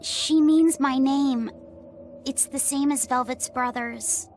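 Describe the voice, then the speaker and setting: Casting: polite young boy for a game, high voice, slow and hesitant, childlike curiosity. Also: steady tone, darkish tone, slight background noise. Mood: apologetic. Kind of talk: English video game dub acting.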